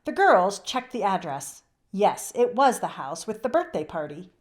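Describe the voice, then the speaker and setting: A woman narrating a children's book aloud in an expressive storybook style. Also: mid-range voice, measured pace.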